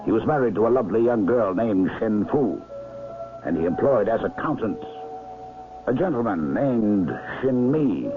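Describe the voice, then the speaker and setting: Knowing gentleman amateur detective played by a male actor, low and very animated, deliberate storyteller, old radio drama. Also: over music, close to an everyday voice. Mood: knowing, storytelling. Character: perceptive older gentleman amateur sleuth, unhurried and knowing. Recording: lo-fi noisy vintage radio broadcast.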